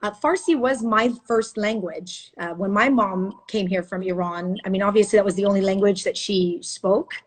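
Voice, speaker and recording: A woman hosting a casual live video interview, enthusiastic and animated as she tells stories. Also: medium pitch, moderate pace, hardly any pauses, low-quality recording. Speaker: host, news anchor. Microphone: phone or video call.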